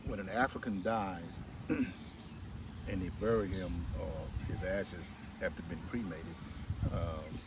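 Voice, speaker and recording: Deep-voiced man explaining, distant and noisy. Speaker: reverend, interview guest. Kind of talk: conversational interview explanation. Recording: loud outdoor noise, distant mic.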